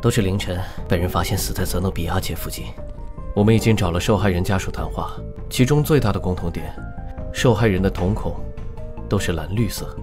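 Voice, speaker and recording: A man playing a sombre, matter-of-fact investigator giving a report in an animated drama. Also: sits low, fairly quick, music in the background, lightly acted. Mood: grim. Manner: sombre, factual. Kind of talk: Chinese animated drama acting.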